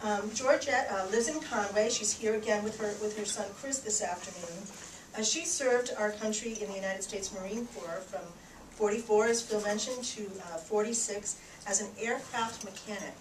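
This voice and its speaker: A woman's voice: mid-range voice, moderate pace. A woman hosting an event, formally introducing a veteran guest with a few hesitant fillers. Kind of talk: public introduction. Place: room with an audience. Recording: echoey room from far off with background noise.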